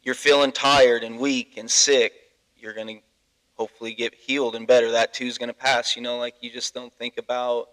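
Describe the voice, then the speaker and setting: A man's voice: medium pitch, level tone, normal speed, fairly bright tone, poor audio. A man chatting casually as a podcast host. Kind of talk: casual chat.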